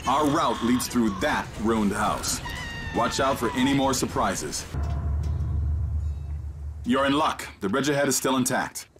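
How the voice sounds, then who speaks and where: A male actor plays a commanding man guiding a soldier through an alien invasion in a video game. His delivery is businesslike and technical, with a lively, wide-moving pitch. Music plays behind him. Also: measured pace, long pauses, close to an everyday voice. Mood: alert, directing. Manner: clipped, instructive, technical, businesslike. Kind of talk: scripted video game voice acting.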